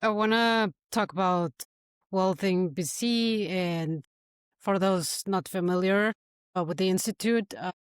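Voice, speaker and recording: A woman hosting a podcast interview, opening with a measured scripted introduction. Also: medium pitch, clean tone, no room sound, clean studio sound. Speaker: podcast host.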